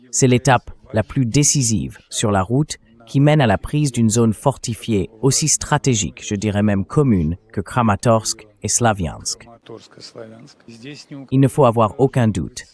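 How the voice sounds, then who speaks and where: Male AI dub voice, fairly low, for a political talk show host putting points and questions to a guest. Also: a little mellow. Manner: synthetic AI voice-over reading. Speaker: interview host. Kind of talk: political talk show commentary, dubbed translation.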